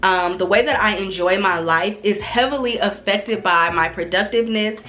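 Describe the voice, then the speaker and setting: A woman talking to camera in a relaxed self-development vlog, her voice a bit nasal and congested from a cold, earnest and chatty with casual slang. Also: medium pitch, moderate pace, soft background music. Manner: earnest, repeats phrases for emphasis. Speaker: vlogger.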